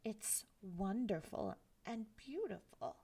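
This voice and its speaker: A woman storyteller reading a children's story aloud in a gentle, expressive way. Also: mid-range voice, takes it slowly, breathy edge. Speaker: library storyteller.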